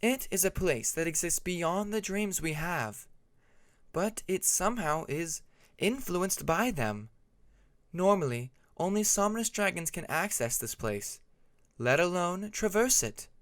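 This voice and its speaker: A man voicing a calm, frank female dragon who explains dream lore in a measured way, in a narrated fantasy story. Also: sits high, dry recording, pitched above the performer's own voice. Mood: explaining, puzzled.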